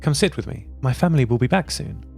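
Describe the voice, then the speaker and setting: A man voicing a gentle, caring young woman who lives aboard a train. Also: mid-range voice, animated, fast talker, creaky, faint music behind, pitched above the performer's own voice.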